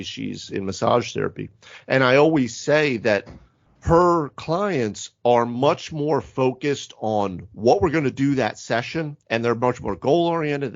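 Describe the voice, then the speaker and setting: A man's voice: mid-range voice, no echo, lo-fi sound. A man co-hosting a casual panel discussion on a podcast, talking in a rambling, self-interrupting way. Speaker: podcast co-host; physical therapist. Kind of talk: casual panel discussion about tabletop roleplaying games.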